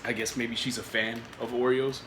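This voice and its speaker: A man's voice: mid-range voice, flat delivery, normal speed. A man hosting a casual food review vlog, getting over a cold, rambling with many filler words and restarts. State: recovering from a cold. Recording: echoey room with faint noise.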